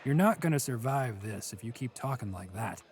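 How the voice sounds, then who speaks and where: A man's voice: medium pitch, lively intonation, moderate pace, a little mellow, slight background noise, lightly acted. Man acting a weary, stern seasoned prisoner. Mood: stern warning. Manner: stern, weary, dry, exasperated. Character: world-weary, gruffly cautioning seasoned male prison cellmate.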